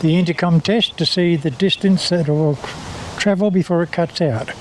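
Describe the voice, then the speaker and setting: A man's voice: a little high, moderate pace, a little mellow. A man chatting casually and calling out short remarks as he rides along outdoors. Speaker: co-rider in a trike vlog. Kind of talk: casual chat and call-outs while riding. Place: outdoors, riding along a path. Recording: noisy outdoor helmet intercom audio.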